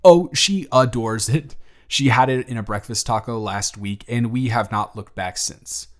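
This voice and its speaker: A man playing a gentle, teasing single dad. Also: mid-range voice, a little slow, lightly acted. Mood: tender, playful. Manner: soft-spoken, gently teasing. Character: warm grateful single dad, playful young man. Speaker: audio drama performer. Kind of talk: romantic audio roleplay.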